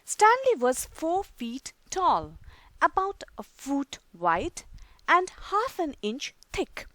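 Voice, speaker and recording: A woman reading a children's picture book aloud, slowly and expressively. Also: high-pitched, huge pitch swings, a bit bright, no echo. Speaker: storyteller.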